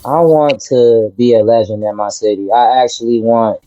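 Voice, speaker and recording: Man from Detroit, rapper guest, dark flat voice, dry dull recording. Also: mid-range voice, moderate pace, no room sound. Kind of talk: interview answers.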